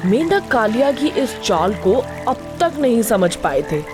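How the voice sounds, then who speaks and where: A woman's voice: a little high, big pitch swings, unhurried. A woman narrator reading a scripted moral story for children. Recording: music behind the narration.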